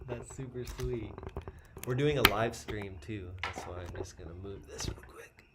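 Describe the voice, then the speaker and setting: A male host leads a casual chat in a relaxed, friendly way, with a very deep voice. The room gives his voice a roomy echo, and there is background noise behind him. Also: moderate pace. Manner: relaxed and friendly, drops in fillers.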